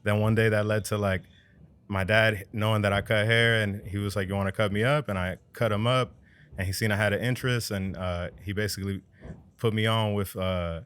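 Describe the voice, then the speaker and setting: A man from New Jersey in his thirties, a barber telling his story as a podcast guest in relaxed slang, often adding "you know what I mean". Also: fairly low pitch, a little fast, smooth. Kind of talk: casual storytelling.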